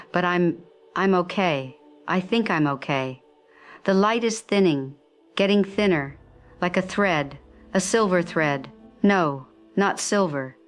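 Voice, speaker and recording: An actress playing a dazed, awestruck survivor calling a radio show, speaking haltingly in wonder. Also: female voice, deep voice. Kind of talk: radio drama acting, phone-in.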